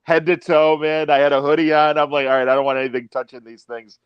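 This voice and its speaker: A middle-aged man telling lively anecdotes in a casual chat, acting out quotes and laughing often. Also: on the high side, fast talker, clean tone, dry recording, dull audio. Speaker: podcast guest.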